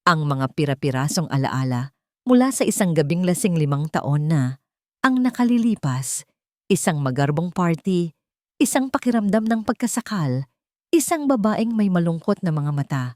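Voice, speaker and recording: A Filipino woman narrates a scripted love story in a fairly low register. Her delivery is measured and dramatic, in the manner of a storyteller. The sound is dry, with very little room in it. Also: darkish tone, no room sound.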